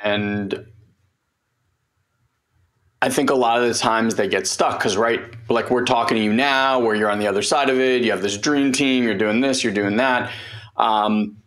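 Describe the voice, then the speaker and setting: A man with a fairly low voice co-hosting a podcast. He frames his questions to the guest slowly, searching for his words as he goes. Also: fairly even intonation, a bit bright. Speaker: podcast co-host. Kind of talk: casual interview questions.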